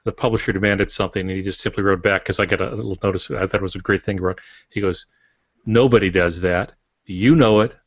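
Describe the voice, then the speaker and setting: A man's voice: deep voice, steady tone, fast talker. A man speaking as an author on a podcast, explaining things frankly in a casual, colloquial way. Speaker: author, podcast guest or panelist. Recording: dull, roomy podcast audio.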